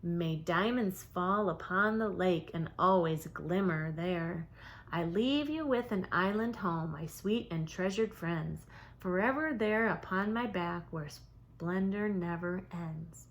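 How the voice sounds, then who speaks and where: A woman voicing a kind, wise old giant turtle in a storybook, speaking slow, solemn, lilting verse. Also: lowish voice. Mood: gentle blessing.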